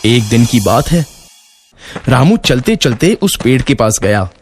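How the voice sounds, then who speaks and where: A man's voice: mid-range voice, sing-song, measured pace, slight background noise. A man narrating a scripted children's moral story as its storyteller. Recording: faint music bed.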